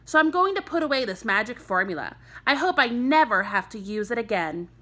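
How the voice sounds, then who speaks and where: A woman voicing a kindly but firm female schoolteacher who speaks to children in measured phrases. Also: fairly high pitch, very animated, a bit bright. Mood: warm, reassuring.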